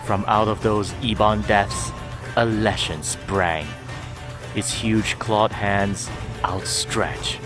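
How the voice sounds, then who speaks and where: A man narrating a scripted story in a measured, dramatic storytelling manner. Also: on the low side, steady tone, over loud music.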